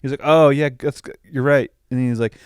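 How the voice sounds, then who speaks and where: A man's voice: medium pitch, steady tone, fairly quick, smooth, no echo, clean studio sound. A man co-hosting a podcast, chatting casually in a joking, informal way. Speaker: podcast co-host. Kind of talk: casual comedic chat.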